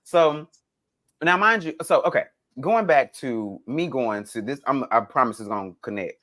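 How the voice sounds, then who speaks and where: A man's voice: medium pitch, moderate pace. A man chatting casually as a podcast co-host, telling stories in a dramatic way and acting out what was said. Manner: animated, dramatic retelling with acted-out quotes. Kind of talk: casual conversational storytelling.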